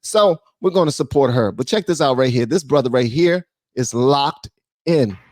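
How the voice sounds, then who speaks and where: A man's voice: mid-range voice, animated, normal speed, a little mellow, no room sound. Male commentary host, emphatic, preachy, dry close sound. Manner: emphatic, preachy, rhetorical questions. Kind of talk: reaction commentary.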